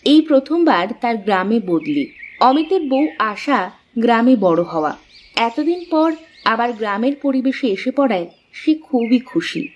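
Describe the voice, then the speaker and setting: A woman's voice: fairly high pitch, big pitch swings, normal speed, highs cut off. A woman reading scripted narration for a children's cartoon story.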